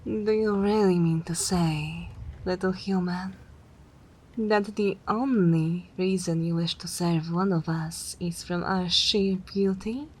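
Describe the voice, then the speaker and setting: A woman's voice: lowish voice, steady tone, takes it slowly, a little mellow, a little hiss or hum. A woman voicing a composed, teasing and menacing vampire mistress in an ASMR roleplay, with a formal, condescending drawl. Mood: condescending, probing.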